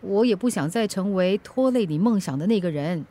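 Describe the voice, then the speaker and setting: A woman's voice: mid-range voice, animated, a little fast, warm tone, lightly acted. An actress playing an independent middle-aged ex-wife and mother in a radio drama, frank and chiding, firm but caring. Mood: hurt, firm. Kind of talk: Chinese radio drama acting.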